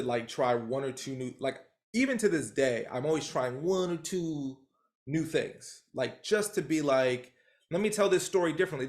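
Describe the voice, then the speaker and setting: A man leading a training call as coach, talking in an animated, rambling way with lots of fillers over a video call. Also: a little high.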